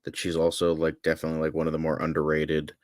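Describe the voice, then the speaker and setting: A man's voice: very deep voice, completely flat delivery, fast, no room sound, studio quality. A man co-hosting a podcast, casually discussing film and TV. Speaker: podcast co-host.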